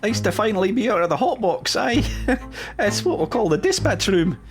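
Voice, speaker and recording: Lively high-voiced young Celtic engineer. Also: male voice, moderate pace, over music. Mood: eager, chatty. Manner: folksy, chattering, tag questions. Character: chatty, eager engineer in his early thirties. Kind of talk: audio drama acting.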